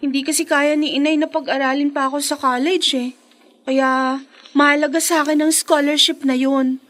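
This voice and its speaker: A woman's voice: high voice, level tone, normal speed, a bit bright. A woman playing a sweet, eager, polite teenage schoolgirl in a Filipino radio drama. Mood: grateful, hopeful. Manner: polite, earnest.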